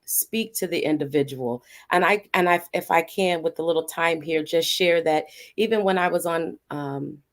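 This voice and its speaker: A woman speaking as a guest on an online panel, sharing in a heartfelt, measured way. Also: on the low side. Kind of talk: personal reflection on a panel.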